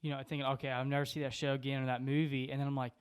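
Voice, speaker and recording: A man with an American accent musing in a halting way during a casual podcast conversation. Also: medium pitch, little pitch movement, clear, resonant tone. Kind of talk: casual group chat.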